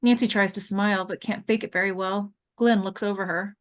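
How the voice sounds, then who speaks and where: Female narrator for screenplay table read; medium pitch, scripted delivery of scene directions. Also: fairly even intonation, fairly quick. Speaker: stage directions reader.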